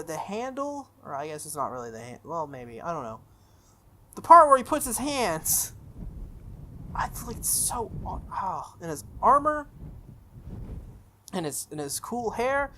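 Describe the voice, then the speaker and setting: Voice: medium pitch, pushed and bright. A playthrough host reading visual novel text aloud, with enthusiastic, gushing asides. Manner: enthusiastic, gushing asides between read narration. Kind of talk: reading game text aloud with excited commentary. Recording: background game music. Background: game music.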